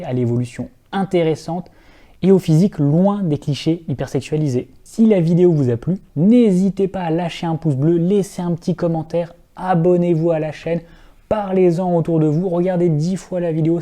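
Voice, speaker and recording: Young male essay host, slightly high, very mellow dark tone, earnest with wry asides. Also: moderate pace. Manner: earnest, lightly ironic asides to viewers. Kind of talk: scripted video essay narration.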